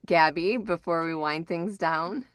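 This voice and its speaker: A woman's voice: on the low side, unhurried, dry recording, clean studio sound. A middle-aged woman hosting a podcast in a casual, rambling, informal way. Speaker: podcast host.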